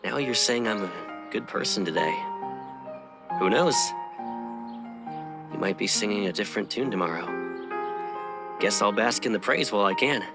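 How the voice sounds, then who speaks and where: A man playing a teasing, protective older childhood friend, a young pilot in training, in dramatic romance game dialogue, with a playful, unhurried delivery. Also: on the low side, animated. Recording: music behind the voice.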